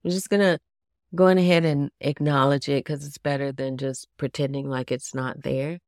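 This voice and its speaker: A woman with a congested, nasal voice talks slowly and chattily into a close mic. Her tone sits low with a gravelly fry, and the sound is dry with no room around it. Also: steady tone, darkish tone, dry recording. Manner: casual, chatty, asks listeners many questions. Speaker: podcast host. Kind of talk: solo podcast talk to yoga teachers.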